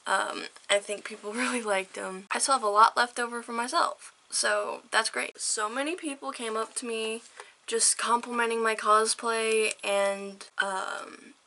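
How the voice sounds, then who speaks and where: A woman vlogger talking casually to her viewers, her voice hoarse and a little worn, rambling with fillers and laughs. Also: a little high, fairly even intonation, a little slow, sharp tone, slight background noise. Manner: rambling, chatty, laughs often. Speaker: vlogger and interviewer. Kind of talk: casual vlog chat.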